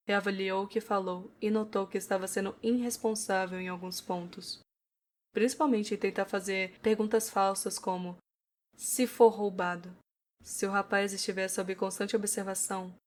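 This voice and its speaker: A woman with a Brazilian accent reading scripted narration for an audio novel. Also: medium pitch.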